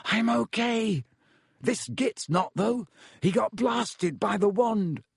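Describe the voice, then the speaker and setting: A man plays a young boy sidekick in a storybook, blunt and desperate. The voice is strained and urgent, often lifted well above an adult's pitch, and recorded dry and close. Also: takes it slowly, vocal fry, breathy edge, no echo, heavily acted. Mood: muffled, annoyed. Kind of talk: audiobook character acting.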